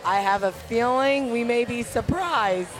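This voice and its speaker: Chatty higher-voiced woman co-host. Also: a little slow, faint music behind. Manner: chatty, teasing, excitable. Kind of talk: casual chat.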